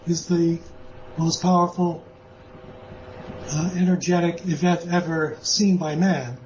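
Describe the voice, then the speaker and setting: High-voiced man on a dull remote line. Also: fairly even intonation, darkish tone, slight background noise. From born in Austria, lives in the US. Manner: measured, hesitant, many uh pauses. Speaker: guest author. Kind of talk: interview answers.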